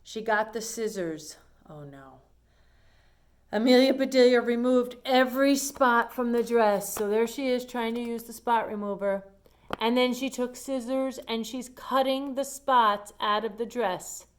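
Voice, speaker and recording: A woman's voice: on the high side, a little slow. A woman reading a storybook aloud to children, explaining it in simple asides as she goes. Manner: simple explanatory phrasing, addressing young listeners.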